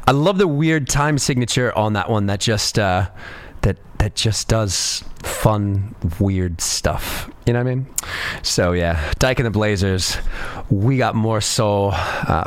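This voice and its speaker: A man's voice: lowish voice, no echo. A man hosting a radio music show, chatting in an easygoing, rambling way with lots of ums and uhs, a little sniffly from a cold. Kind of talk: laid-back radio DJ chat.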